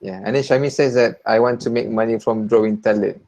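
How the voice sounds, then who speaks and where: Halting Malaysian man lecturer. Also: on the low side, steady tone, a little mellow, a little hiss or hum. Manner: halting, repeats words, mixes in Malay. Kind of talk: online class chat. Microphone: video call.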